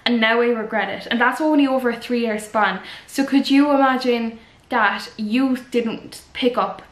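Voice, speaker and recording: A woman's voice: fairly high pitch, measured pace. Young girl vlogging, rambly and earnest, lots of likes and ums, echoey room. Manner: chatty, earnest, rambling with fillers. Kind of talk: casual advice chat to camera.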